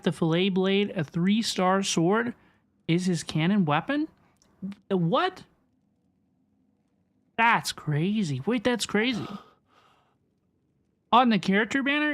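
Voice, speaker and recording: A woman livestreaming a game, chatting casually with viewers in an animated, repetitive way as she reacts and reads chat. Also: medium pitch, slow pace, pauses often. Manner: animated, repeats questions, reads chat aloud. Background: game music.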